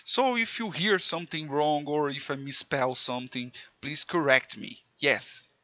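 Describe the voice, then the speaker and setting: Brazilian man teaching in halting English. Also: a little high, sharp tone, no echo, muffled recording. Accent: Brazilian Portuguese. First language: Portuguese. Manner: halting non-fluent English, many restarts. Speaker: programming tutor. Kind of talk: screen-recorded coding tutorial.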